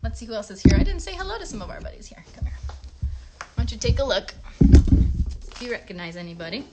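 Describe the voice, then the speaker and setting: A woman's voice: on the high side, sing-song, fast, loud music behind the voice. A woman hosting a casual children's livestream, encouraging young viewers and teaching crafts with frequent little check-in questions. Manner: encouraging, addresses children directly with tag questions.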